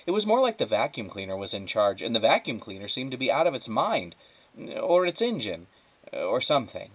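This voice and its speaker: Animated man reading a storybook. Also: mid-range voice, brisk, no echo. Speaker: teacher reading aloud. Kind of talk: children's storybook narration. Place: indoors at a wooden table.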